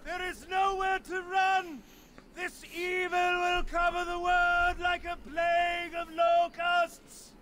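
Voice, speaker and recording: An actor playing a crazed, despairing refugee doomsayer in a fantasy video game, declaiming fervently in drawn-out phrases. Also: male voice, extremely high pitch, completely flat delivery, background noise. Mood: frantic, ranting.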